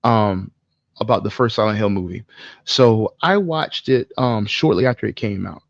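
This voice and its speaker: Male co-host, gravelly voice, foul-mouthed casual storyteller, dry but slightly muffled sound. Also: fairly low pitch, moderate pace, dry recording. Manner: animated, profane, slangy. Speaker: podcast co-host.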